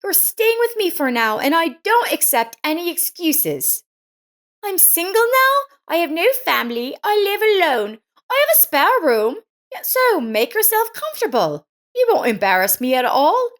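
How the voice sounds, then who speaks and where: Kindly, insistent neighbor woman, played by a female narrator, very high pitched, swooping, emphatic storybook character. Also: very animated, normal speed, a bit bright, exaggerated voice. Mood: insistent, friendly. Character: kind, insistent woman neighbor, concerned and chatty.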